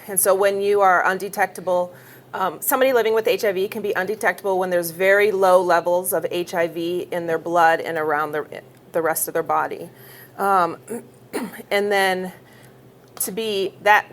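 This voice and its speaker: A woman from the United States, an HIV educator on a panel, explaining things carefully and a little nervously. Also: fairly low pitch. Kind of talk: panel discussion.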